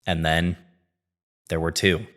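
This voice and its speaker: Very deep-voiced man narrating dramatically. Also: flat delivery, fairly bright tone, soft background music. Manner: deliberate phrasing with dramatic pauses. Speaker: narrator and host of a horror series review. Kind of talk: dramatic scripted storytelling narration.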